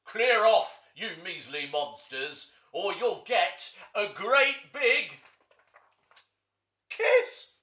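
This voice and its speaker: A man voicing a big bad storybook monster, fierce and blustering, with a drawn-out, theatrical roar in a roomy, echoing space. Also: high voice, very animated, bright, forceful tone, pitched above the performer's own voice, exaggerated voice. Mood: roaring threat. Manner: roaring, drawn-out, theatrical delivery.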